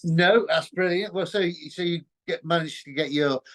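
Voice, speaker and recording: A man hosting a casual interview, speaking in a hesitant, halting way. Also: fairly high pitch, fairly bright tone. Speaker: interview host.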